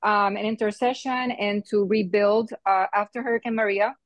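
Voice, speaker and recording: A woman's voice: medium pitch, fairly even intonation, moderate pace, dry recording. Middle-aged lady on a phone video call, lots of ums, dry sound. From Puerto Rico. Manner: hesitant, many ums, checks in with "right?". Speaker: nonprofit member calling in. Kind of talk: casual call testimony.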